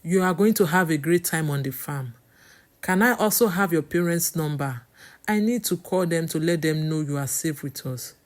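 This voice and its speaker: A woman's voice: low-pitched, normal speed, natural voice. A woman voicing a friendly-seeming, deceitful grown man, an uncle in a story, with a reassuring manner and a Nigerian accent. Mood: falsely reassuring.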